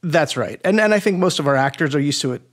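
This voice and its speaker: A man speaking as a guest scriptwriter, explaining casually in an informal, matter-of-fact way with gentle teasing. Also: on the high side, lively intonation, fast.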